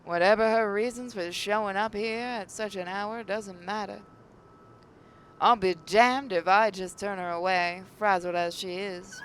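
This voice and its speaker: Woman reading aloud over clear background noise. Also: medium pitch, steady tone, unhurried. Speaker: gaming channel host. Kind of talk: reading visual novel narration aloud.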